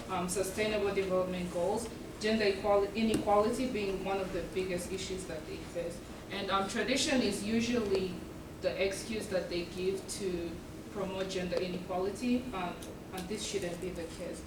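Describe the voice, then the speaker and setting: A young woman who grew up in East Africa gives a student presentation, earnest and measured, with many ums between her points. She sounds a little far from the mic in an echoey room, with clear noise behind her. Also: medium pitch. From South Sudan and Uganda. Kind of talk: presentation talk. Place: event room.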